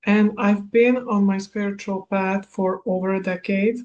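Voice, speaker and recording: A woman in her thirties from Serbia, a native Hungarian speaker, giving a recorded spiritual coaching class in a measured manner. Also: medium pitch, steady tone, darkish tone, dull audio. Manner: slow, measured, with small laughs. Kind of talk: recorded masterclass talk.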